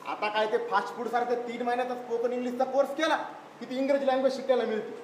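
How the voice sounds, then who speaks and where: A man's voice: very high-pitched, steady tone, fast talker. A man playing a pragmatic, argumentative elder brother in a live stage play, matter-of-fact and insistent. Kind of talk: live one-act stage play acting. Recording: echoey stage recording, faint noise.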